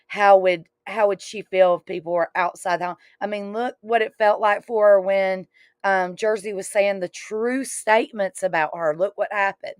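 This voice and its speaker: A woman's voice: medium pitch, steady tone, normal speed, clean tone, no echo, studio quality. A woman talking casually and giving her opinions in a panel discussion.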